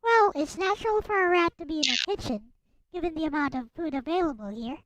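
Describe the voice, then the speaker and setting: Very high, girlish-voiced woman streamer. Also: animated, brisk, fairly bright tone, no room sound. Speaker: streamer, host. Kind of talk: reading a visual novel aloud with casual commentary. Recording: music behind the voice.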